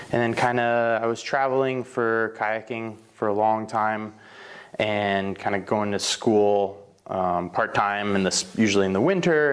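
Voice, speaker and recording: A man from Slovenia who owns a bakery, telling his life story in a casual interview, rambling with fillers and self-corrections. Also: on the low side. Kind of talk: casual oral history interview.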